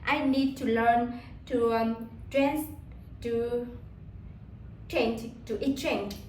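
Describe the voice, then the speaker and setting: A woman from Vietnam with a Vietnamese accent, talking casually in English about how she learns it, halting and often repeating words as she searches for them. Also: fairly high pitch, fairly even intonation, a little mellow, reverberant, a little hiss or hum, low-quality recording.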